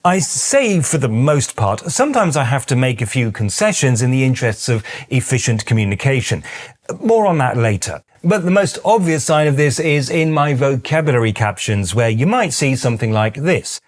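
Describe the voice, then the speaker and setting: A man's voice: medium pitch, big pitch swings, normal speed. A middle-aged British man with a British accent, hosting a scripted explainer with precise, dryly wry delivery. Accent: British English. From Britain. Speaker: YouTube host.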